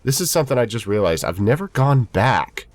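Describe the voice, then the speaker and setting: A man's voice: medium pitch, sing-song, fairly quick, dry recording. A man in his twenties, stuffy from a head cold, giving rambling, chatty let's-play commentary. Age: 20s. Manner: rambling, chatty, self-deprecating. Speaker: gaming YouTuber.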